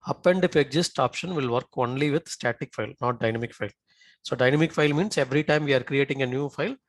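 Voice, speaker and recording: A male software instructor talks through a live on-screen demonstration. His voice sits at a middle pitch in a dry recording with no room sound. He often stops to check that learners follow. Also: fast talker, no room sound. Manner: checks in often with short tag questions.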